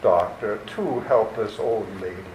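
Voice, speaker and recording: A man's voice: on the low side, a little slow, crowd noise, lightly acted. A man playing a menacing, scheming criminal nephew in a radio play, mock-courteous, theatrical and commanding. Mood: sinister, scheming. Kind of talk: 1940s-style radio theater acting. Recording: echoey room with faint noise.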